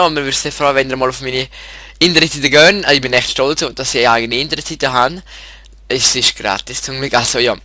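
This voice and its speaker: Swiss german guy w a cold, kinda stuffy, rambly and halting, bright voice, dry close sound. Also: medium pitch, no echo. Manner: halting, rambling, many restarts and fillers. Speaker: YouTube channel host. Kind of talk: casual screen-share info video.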